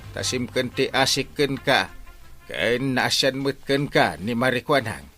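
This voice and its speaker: A village captain in an Ilocano radio comedy drama. He is firm and admonishing, stretching his interjections. His mid-pitched voice swings in a lively way as he scolds and lays down the law. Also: a little slow, soft background music. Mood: scolding.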